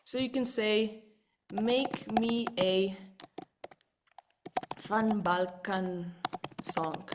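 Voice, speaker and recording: Lively woman presenting a webinar. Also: mid-range voice, very slow, long pauses, background noise. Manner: lively, repeats words, asks listeners "right?". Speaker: webinar presenter and vocal coach. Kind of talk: live online webinar demo.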